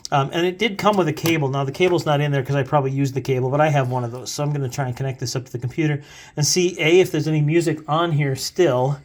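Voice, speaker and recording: Amused, rambling man, slightly high voice. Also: fairly even intonation, fast talker. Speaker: co-host, dad showing vintage gadgets. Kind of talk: casual unscripted product review chat.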